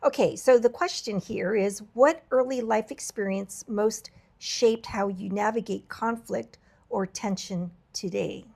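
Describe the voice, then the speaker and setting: Slightly raspy woman, reflective podcast host. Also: medium pitch, a little slow, a little mellow. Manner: earnest and reflective, many fillers and restarts. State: slightly raspy voice from travel fatigue. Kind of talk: candid podcast conversation.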